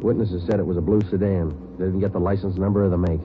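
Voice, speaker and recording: A man playing a clipped, deadpan police sergeant. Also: sits low, quick pace, lightly acted. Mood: businesslike. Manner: clipped, matter-of-fact, deadpan delivery. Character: terse, steady male police detective sergeant narrating. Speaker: narrator and lead detective. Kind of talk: 1950s radio drama acting. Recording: tinny old radio broadcast with hiss.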